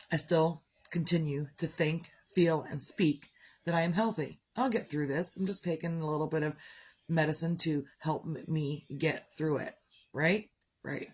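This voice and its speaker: A woman with a congested voice who keeps clearing her throat, talking on a live video in a chatty, upbeat, rambling way about gratitude and her morning. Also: sits low, poor audio. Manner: chatty, upbeat, wanders off topic. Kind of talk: rambling motivational chat.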